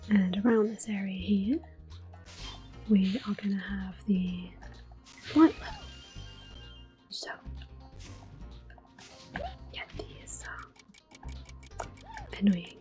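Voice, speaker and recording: Slow, whispery ASMR woman. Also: mid-range voice, faint music behind. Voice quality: whispery, breathy. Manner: gentle, unhurried. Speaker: ASMR gameplay host. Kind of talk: soft-spoken ASMR game commentary.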